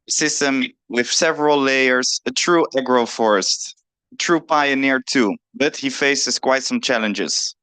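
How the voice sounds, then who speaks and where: Young guy on a panel, lots of ums, smooth clear voice, dry close sound. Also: medium pitch, fairly bright tone, no room sound. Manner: halting, many fillers and restarts. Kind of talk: panel talk.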